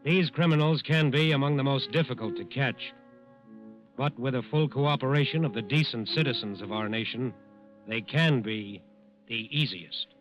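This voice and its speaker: A man's voice: mid-range voice, moderate pace. Earnest American man narrating old radio. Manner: earnest, admonishing. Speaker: narrator of a crime drama. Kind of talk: scripted 1940s radio narration. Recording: dull old radio broadcast with music. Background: music.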